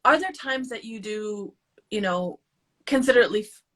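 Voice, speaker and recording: A woman's voice: medium pitch, normal speed, a bit bright. A woman hosting an interview, asking rambling questions with many "like" fillers. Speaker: podcast host. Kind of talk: casual conversational interview.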